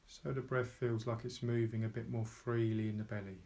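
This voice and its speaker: Male meditation guide. Low voice, very flat pitch, calm and unhurried, leaves space between short phrases. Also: creaky. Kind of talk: guided meditation, slow soft instruction.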